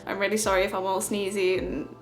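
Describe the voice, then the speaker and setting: A woman's voice: medium pitch, little pitch movement, fast. Giggly young woman with a cold. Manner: chatty, giggly, lots of fillers. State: has a cold. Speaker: beauty vlogger. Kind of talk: makeup tutorial chat. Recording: echoey room with light music.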